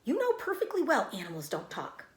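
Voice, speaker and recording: Storybook mother, woman reader: skeptical, fretful, insistent, lively intonation, roomy recording. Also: high voice, a little fast, pitched above the performer's own voice. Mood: worried, insistent. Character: worried, skeptical mother of a young girl.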